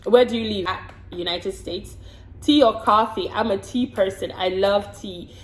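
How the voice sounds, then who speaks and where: A woman's voice: medium pitch, measured pace. A woman from the Congo answering get-to-know-me questions in a casual vlog, frank and chatty, often repeating words while she thinks. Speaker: vlogger and hair and makeup artist. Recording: roomy echo with faint background hiss.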